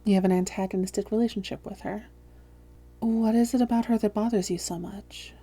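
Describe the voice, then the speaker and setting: A woman playing a calm female vampire therapist in a roleplay audio, speaking softly, gently and in a measured, reassuring way. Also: medium pitch. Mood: gentle, professional. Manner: measured, gentle, reassuring, breathy hushed phrases. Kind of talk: soft-spoken roleplay audio, therapist addressing listener.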